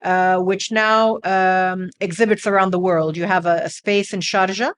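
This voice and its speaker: A woman's voice: lowish voice, steady tone, a little slow. Woman host asking long questions. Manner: probing, long multi-part questions. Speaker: podcast host. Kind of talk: podcast interview.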